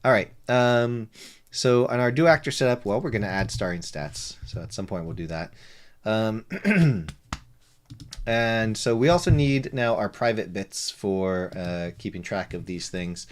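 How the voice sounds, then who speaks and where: A man's voice: on the low side, expressive, unhurried. A man streaming live game development, talking to himself with many fillers and joking asides in a soothing voice. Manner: rambling, self-talk with many fillers and asides. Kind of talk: live coding stream, casual narration.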